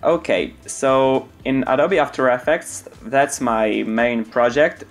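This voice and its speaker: Young Polish-accented man, earnest narration. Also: mid-range voice. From Poland. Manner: earnest, slightly halting second-language delivery with frequent fillers. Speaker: animator and channel creator. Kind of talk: casual making-of narration.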